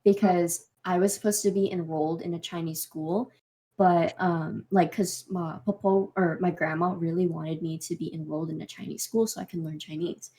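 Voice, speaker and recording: A young woman co-hosting a podcast, talking in a casual, animated way and swearing freely. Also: on the low side, moderate pace. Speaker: podcast co-host. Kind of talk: casual chat.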